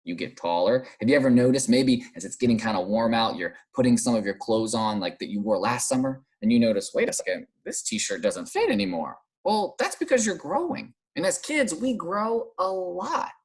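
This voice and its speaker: An American man teaching young children in a read-aloud lesson, using simple, encouraging phrases and questions with pauses for answers. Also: medium pitch, expressive, slightly rough. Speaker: primary school teacher.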